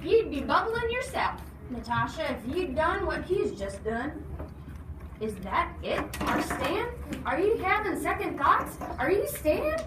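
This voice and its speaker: A woman's voice: sits high, very animated, measured pace, pitched above the performer's own voice. A woman voicing a hearty, breezy, folksy man in a storybook, exuberant and coaxing. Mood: teasing, probing. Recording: noisy, echoey room, distant mic.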